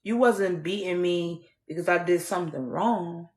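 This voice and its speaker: A woman's voice: lowish voice, unhurried, echoey room. Blunt Trinidad-born woman, American accent. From Trinidad and Tobago. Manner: blunt, candid, raw with swearing and wry laughs. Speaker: survivor telling her story. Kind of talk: personal testimony to camera.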